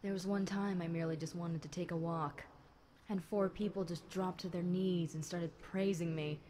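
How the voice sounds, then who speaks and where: A woman's voice: lowish voice, fairly even intonation, dark tone, lightly acted. A woman playing a chatty, centuries-old fallen angel demon girl, talking wryly and conversationally to one listener in an audio roleplay. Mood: wry, haughty. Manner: conversational, wry, unhurried, dramatic musing. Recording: clear background noise.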